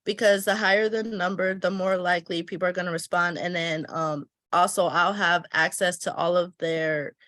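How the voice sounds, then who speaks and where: A woman's voice: fairly low pitch, moderate pace, few pauses, dry recording. Stuffy-voiced woman walking through a planner. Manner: thinks aloud while filling in a planner, relaxed and chatty. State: stuffy, recovering from a cold. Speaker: travel agency owner teaching planning. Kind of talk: casual step-by-step tutorial.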